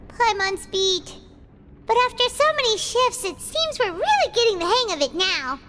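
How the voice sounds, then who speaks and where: A woman voicing a tiny, excitable childlike fairy companion in an animated video game, squeaky, chirpy and talkative. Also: measured pace, loud music behind the voice, full character voice. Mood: weary. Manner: chirpy, refers to self in third person.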